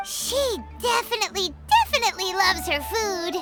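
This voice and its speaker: A woman voicing a small, bubbly, curious childlike girl companion in a video game, exclaiming and expressive. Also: extremely high pitch, normal speed, loud music behind the voice. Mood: curious, musing. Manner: exclaiming, wide-eyed, expressive. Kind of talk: animated video game voice acting.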